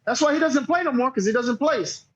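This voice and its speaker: A man's voice: extremely high pitch, brisk, muffled recording, slight background noise. A man being interviewed as a podcast guest, telling a story casually in a rambling, profane way and often quoting others. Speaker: podcast guest, cornhole player.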